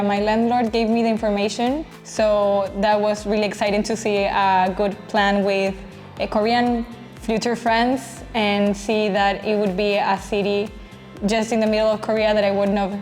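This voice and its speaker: A cheerful woman from Chile, an exchange student, answers interview questions in a loose, rambling way. Soft music plays faintly behind her. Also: mid-range voice, flat delivery, moderate pace.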